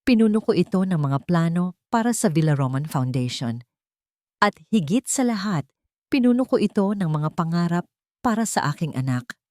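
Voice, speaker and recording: Lower-voiced woman narrating a dramatic story. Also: animated, moderate pace, a little mellow, dry recording, clean studio sound. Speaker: audiobook narrator. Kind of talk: dramatic scripted first-person story narration.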